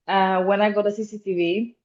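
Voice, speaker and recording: A woman's voice: mid-range voice, fairly even intonation, normal speed. A woman in her twenties from Romania, not a native English speaker, talking earnestly as a podcast guest with many hesitations. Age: 20s. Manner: earnest, hesitant with many ums, laughs often. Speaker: guest, security officer. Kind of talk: casual interview chat. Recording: dull lo-fi sound.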